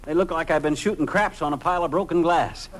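A noisy vintage radio recording of a man acting a blustery, accident-prone American husband. His voice sits fairly high, and he grumbles and exclaims in folksy comic style. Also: fast talker, over a noisy crowd, lightly acted. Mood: weary, stubborn. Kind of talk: 1940s-50s American radio comedy acting.

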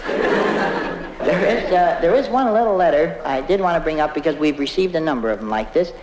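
A man's voice: on the high side, expressive, normal speed, close to an everyday voice. A man playing a flustered, smarmy children's TV host in a comedy monologue, hesitant and stammering, talking down to children. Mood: patronizing. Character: flustered, smarmy male children's TV show host, "Uncle" type. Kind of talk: live comedy monologue, halting letter reading. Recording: echoey noisy old live recording, audience laughter.

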